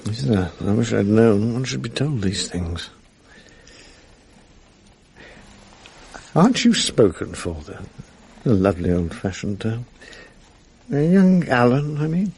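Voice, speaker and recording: Middle-aged upper-class Englishman, creaky voice, lively intonation, wry and world-weary, 1980s radio drama. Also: mid-range voice, normal speed. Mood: stunned, self-mocking. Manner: wry, urbane, understated. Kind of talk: 1980s British radio drama acting, intimate naturalistic dialogue. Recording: faint noise on archive radio recording.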